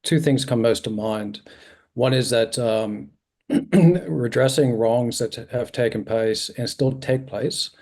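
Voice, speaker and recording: A middle-aged man giving an earnest, measured submission to a committee over a video call, with frequent ums and restarts. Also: medium pitch, darkish tone. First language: not originally from New Zealand.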